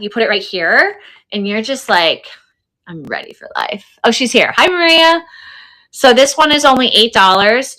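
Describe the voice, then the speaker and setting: A woman's voice: mid-range voice, bright, forceful tone, low-quality recording. A woman hosting a live online sale in casual chat, effusive and chatty, often repeating words for emphasis. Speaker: host of an online selling livestream.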